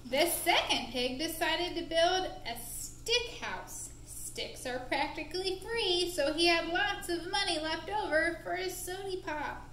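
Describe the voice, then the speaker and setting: A woman narrating a puppet show for young children in an expressive, deliberate, playful way, recorded in an indoor room. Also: extremely high pitch. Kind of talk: animated storytelling for young children. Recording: roomy echo with faint background noise.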